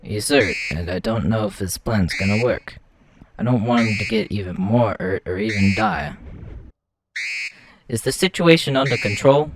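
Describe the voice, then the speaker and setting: A man voicing a gruff, worried and menacing right-hand man of an airship gang in a comic dub, terse and urgent. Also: fairly low pitch, animated, moderate pace, background noise. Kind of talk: comic dub character acting. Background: music.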